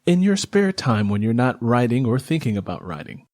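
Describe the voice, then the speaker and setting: A man's voice: medium pitch, very animated, moderate pace, a little mellow. A man hosting an interview podcast in a casual, conversational style. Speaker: podcast host, author and audiobook narrator.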